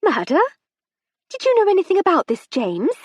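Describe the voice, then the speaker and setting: A woman's voice: a little high, very animated, a little slow, breathy edge, no echo, muffled recording, pitched above the performer's own voice, heavily acted. A woman doing a gushing, imperious society wife. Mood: gushing, sharp. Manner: gushing, then icy and imperious. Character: shrill, sharp-tongued society wife in her fifties.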